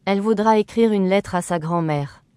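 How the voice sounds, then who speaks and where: Clear crisp phrase reader, slow even delivery, dead dry sound. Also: medium pitch, no room sound. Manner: even, carefully enunciated, every phrase repeated.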